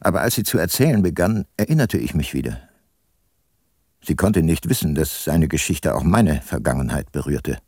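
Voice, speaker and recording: An actor playing a guilt-ridden older detective, a former prosecutor, in reflective first-person radio drama narration. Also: male voice, rumbling low, lively intonation, normal speed, a little mellow, breathy edge, no echo, clean studio sound, heavily acted. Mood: sombre, reflective. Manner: sombre, reflective.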